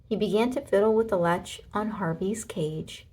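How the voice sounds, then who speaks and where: A woman narrating a children's storybook aloud in an expressive way, with deliberate dramatic emphasis. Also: medium pitch, breathy edge.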